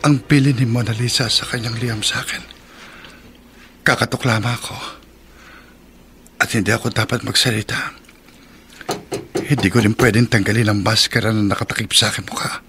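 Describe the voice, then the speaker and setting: A man's voice: on the low side, fairly even intonation, moderate pace, long pauses, sharp tone. A man playing a determined, secretive lover who plots murder in a radio drama, earnest and theatrical. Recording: faint background ambience noise.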